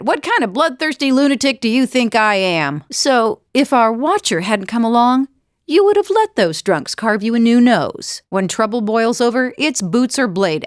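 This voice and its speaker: A woman's voice: fairly high pitch, dry recording, studio quality. A woman voicing a bold, teasing female fighter and wanderer in a fantasy video game, with a wry, conversational delivery.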